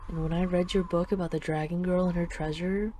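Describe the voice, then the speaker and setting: A woman voices a dragon queen in an intimate audio drama, with a low, mellow, soft voice. She is affectionate and wistful, teasing her beloved gently, with a faint wash of ambient noise behind her. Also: fairly even intonation, fairly quick, natural voice. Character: dragon queen, tender, teasing, possessive lover.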